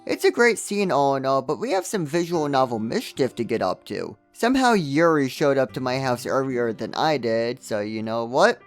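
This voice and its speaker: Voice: medium pitch, very animated, moderate pace, hardly any pauses, soft background music. A game reviewer narrating a scripted comedic recap with wry, joking asides.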